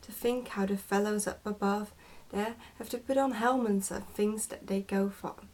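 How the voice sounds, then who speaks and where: A woman's voice: medium pitch, fairly even intonation, moderate pace, a little mellow, close to an everyday voice. A woman reading the part of a bossy, smug goblin father in a storybook, in a pompous explaining manner.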